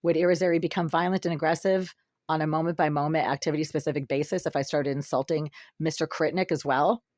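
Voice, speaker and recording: A woman with a low, raspy voice delivers an intense, accusing monologue to her camera. She talks in long run-on sentences. The sound is close and dry, with no room echo. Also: fairly even intonation, quick pace, darkish tone. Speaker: vlogger. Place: her apartment, sitting in the dark.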